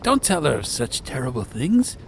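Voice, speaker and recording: A man's voice: medium pitch, very animated, normal speed, vocal fry, slight background noise, pitched above the performer's own voice. A man voicing a bold, blunt, hearty adventurer with an emphatic, boisterous manner in a storybook. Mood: bold persuasion.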